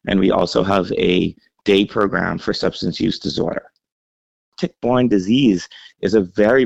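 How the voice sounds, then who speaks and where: A man from the Bahamas, a health center chief executive, speaking earnestly in a radio feature with frequent pauses and ums. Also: on the low side, gravelly, no room sound, muffled recording. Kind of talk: radio interview answers.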